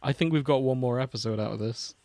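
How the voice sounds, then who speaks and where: A man co-hosting a podcast, reading fan fiction with a dry, deadpan delivery. His voice sits at a middling pitch and is recorded close and dry, with no room sound. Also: fast talker, dry recording. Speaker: podcast co-host reading fanfiction aloud. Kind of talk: casual podcast chat and dramatic reading.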